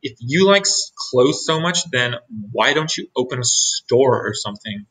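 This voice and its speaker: A man's voice: mid-range voice, lively intonation, normal speed, fairly bright tone, rough recording. A man voicing a disgusted, sarcastic mole rat in a whiny, exaggerated, scornful manner. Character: disgusted, sarcastic crowd of naked mole rats.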